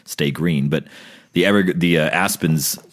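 A man with a naturally deep voice, chatting casually and laughing easily as a podcast co-host. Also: measured pace. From New Mexico. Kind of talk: casual chat.

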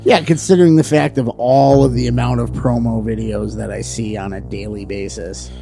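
A man from Western Massachusetts with a local accent, co-hosting a podcast in casual, swearing, quick-told banter. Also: medium pitch, creaky, soft background music. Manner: animated, swearing, quick storytelling. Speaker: podcast co-host.

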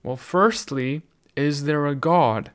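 A man's voice: on the high side, slow pace, clear, resonant tone. A middle-aged South African man whose first language is Afrikaans, giving an earnest, conversational sermon on video with frequent restarts. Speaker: preacher.

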